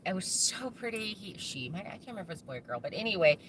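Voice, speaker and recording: A woman's voice: mid-range voice, big pitch swings, rapid-fire, background noise. A woman hosting a casual live chat from her farm, rambling and laughing often as she answers viewer comments. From United States.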